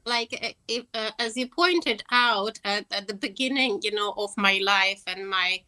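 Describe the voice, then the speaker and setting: A woman talks as an interview guest over a video call. She speaks slowly, in a bright, tense voice. She pauses often and fills the gaps with ums and uhs. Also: mid-range voice. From Russia. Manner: hesitant, many fillers, laughs lightly. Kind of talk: online interview.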